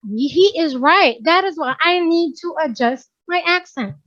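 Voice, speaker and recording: High-voiced Filipino English woman trainer. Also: expressive, measured pace, a bit bright, no echo, dull audio. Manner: chatty, keeps checking understanding, mixes Tagalog and English, laughs often. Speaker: workplace communication trainer. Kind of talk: casual instructive training talk.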